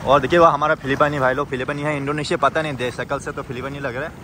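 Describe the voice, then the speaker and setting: A young man casually vlogging outdoors at a lake, excitedly pointing things out to viewers. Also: medium pitch. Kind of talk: casual vlog narration. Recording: noisy outdoor recording with rushing water.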